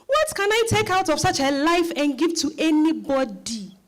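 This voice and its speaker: A middle-aged mother played by a woman, self-pitying and aggrieved. Her high voice rises and falls widely as she pleads in a dramatized reading. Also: big pitch swings, normal speed. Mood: pleading, aggrieved. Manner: plaintive, self-pitying protest. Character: plaintive middle-aged mother, scolded daughter of grandmother.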